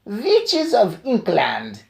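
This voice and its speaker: A woman voicing the furious Grand High Witch, an old witch queen who yells and shrieks her words in drawn-out bursts. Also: big pitch swings. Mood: scolding.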